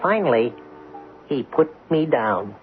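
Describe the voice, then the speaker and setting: An actor voicing a wry, observant coin that narrates its own story in a radio drama, in a knowing, descriptive way. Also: male voice, medium pitch, big pitch swings, slow pace, soft background music. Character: talking Mercury dime, wry, observant storyteller. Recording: tinny old radio broadcast.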